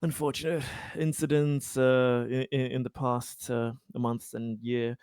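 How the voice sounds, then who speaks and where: A polite, considerate colonist, played by a man, speaks slowly and haltingly in improvised tabletop roleplay. The voice is clean and smooth. The sound is close and dry. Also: mid-range voice, little pitch movement, darkish tone, no room sound, close to an everyday voice. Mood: tentative, friendly.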